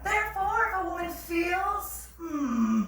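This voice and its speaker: A woman's voice: very high-pitched, sing-song, slow pace, fairly bright tone, a little hiss or hum, full character voice. A woman on stage playing a grand, mock-gracious and commanding speed-dating hostess, heard from far off in a very echoey theatre. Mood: smugly commanding. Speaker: actor in a staged reading. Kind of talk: theatrical announcement to a room. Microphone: far audience camera. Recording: very echoey theatre, distant mic.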